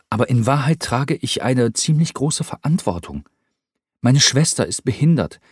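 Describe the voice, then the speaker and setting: A man voicing a friendly, caring young man in an audiobook, with an earnest, warmly insistent manner. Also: medium pitch, slightly rough, no room sound, clean studio sound, voice pushed up.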